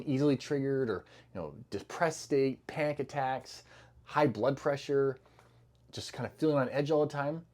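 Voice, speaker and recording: A middle-aged man explaining health habits in a relaxed, chatty way. He wanders through his points with plenty of fillers and self-corrections, at an ordinary pitch, sounding like a clinician talking one-on-one with a patient. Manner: loose, conversational, many fillers and restarts. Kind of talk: casual educational talk to camera.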